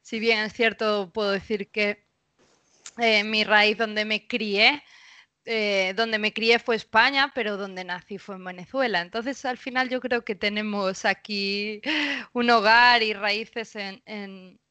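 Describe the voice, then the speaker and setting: A woman in her thirties with a Spain Spanish accent speaks as a podcast guest in a bright, forward tone that stays crisp and smooth. She talks at an easy, reflective pace, often pausing on fillers as she gathers her thoughts. The sound is close and dry, with no room heard around her. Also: medium pitch, fairly even intonation, no echo. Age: 30s. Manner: thoughtful, pauses with frequent fillers. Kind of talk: casual interview talk.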